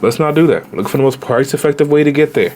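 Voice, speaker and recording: A man in his late twenties, a travel vlogger giving casual, animated budget travel advice straight to viewers, with slang. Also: on the high side, fast, a little hiss or hum. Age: 20s.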